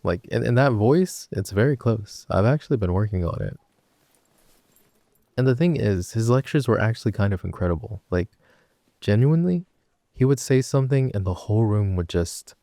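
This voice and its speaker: Man acting young male best friend, teasing, rambling, wry, self-interrupting, dark relaxed tone, dry close sound, intimate audio roleplay. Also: fairly low pitch, vocal fry, dry recording. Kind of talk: intimate boyfriend roleplay audio, casual storytelling.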